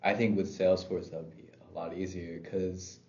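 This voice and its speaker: A man role-playing a sales representative making a pitch. He says he has been sick, and he hesitates with many fillers. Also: little pitch movement, moderate pace, darkish tone. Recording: dull sound in an echoey room.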